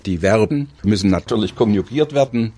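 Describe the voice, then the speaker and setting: A man's voice: lowish voice, fairly quick, mellow, breathy edge. A man who volunteers as a German teacher, explaining grammar and slipping in fillers.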